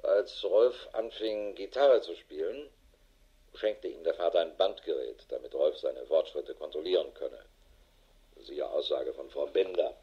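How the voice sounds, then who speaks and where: An actor playing a harried, middle-aged police investigator in a radio drama, matter-of-fact and dry. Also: male voice, rumbling low, unhurried, slightly rough, lo-fi sound, exaggerated voice. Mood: sober, dictating. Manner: matter-of-fact, dry, clipped official phrasing. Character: harried, world-weary male police investigator with a wife. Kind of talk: German radio drama acting, dictated report.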